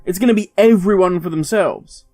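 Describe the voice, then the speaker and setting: A man's voice: high-pitched, moderate pace, a little mellow, no echo, faint music behind. A man hosting a gaming video, giving emphatic, opinionated commentary straight to the viewer. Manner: emphatic, ranting, direct address.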